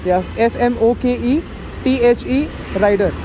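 A woman in her twenties speaks Indian English in a fairly high voice. She chats casually as a motovlogger, recorded outdoors with clear traffic noise around her. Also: normal speed. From Delhi, India. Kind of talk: casual roadside chat. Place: outdoors on a city roadside by a motorbike.

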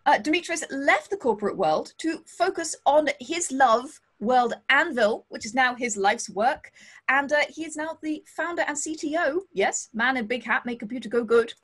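A woman's voice: high voice, lively intonation, normal speed, fairly bright tone. A woman in her thirties hosting a livestream interview over a video call, bubbly and playful with frequent jokes. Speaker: podcast host. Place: home studio, indoors.

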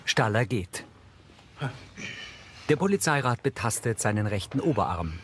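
A man's voice: fairly low pitch, big pitch swings, moderate pace, a little hiss or hum. A man reading scripted audio description in short narrated sentences.

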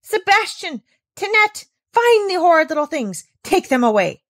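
A woman's voice: mid-range voice, lively intonation, moderate pace, creaky, no room sound, studio quality. A woman reading aloud voices a stern, easily angered housekeeper who scolds shrilly. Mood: shrieking.

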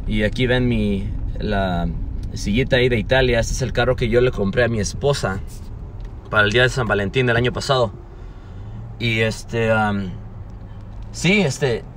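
Man in his thirties, earnest rambling motivational vlog, phone recording inside a car, faint street noise behind. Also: medium pitch. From Los Angeles, USA. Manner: earnest, rambling, many fillers and restarts. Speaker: motivational vlogger. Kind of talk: casual motivational talk to camera. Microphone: phone at arm's length.

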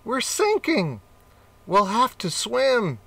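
A man voicing a fussy male cat who hates getting wet and is quick to anger, with a raised, whiny, complaining voice. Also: extremely high pitch, huge pitch swings, creaky, bright, forceful tone, dry recording, voice pushed up, exaggerated voice. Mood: alarmed. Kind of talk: children's storybook reading.

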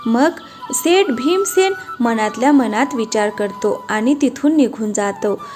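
A woman narrates a children's cartoon tale in a scripted storybook style. Her voice is fairly high, with faint music underneath. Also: animated, measured pace. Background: light background music.

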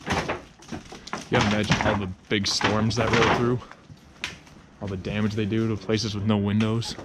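A man's voice: fairly low pitch, level tone, measured pace, very noisy. A young man exploring an abandoned building with a friend, tossing out short, joking, laid-back remarks. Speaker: exploring companion. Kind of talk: casual banter. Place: large abandoned building, indoors.

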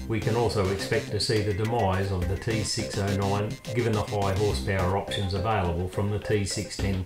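Male narrator for scripted review voice-over; lowish register, roomy sound. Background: guitar music.

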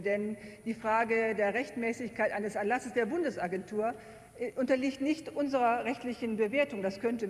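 A woman from the Rhineland giving a measured, argumentative speech at the lectern in a parliamentary debate. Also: medium pitch. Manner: measured, argumentative, occasional self-deprecating asides. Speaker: member of a state parliament. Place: large plenary hall. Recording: echoing plenary hall, faint noise.

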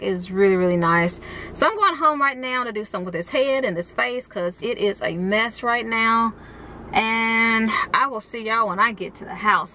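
A woman's voice: on the high side, pushed and bright, rough recording. Chatty American woman vlogging. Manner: informal, chatty, colloquial. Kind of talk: casual chat to camera. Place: parked car and rooms at home. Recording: some background noise.

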